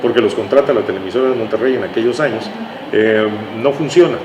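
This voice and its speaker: A Mexican man talks as a guest in a relaxed-format interview, recounting anecdotes at length with little tag questions along the way. His voice sits at an average pitch. The room is echoey, with a noticeable noisy hum behind him. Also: fast. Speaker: interview guest, writer recalling his radio work. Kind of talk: conversational reminiscing.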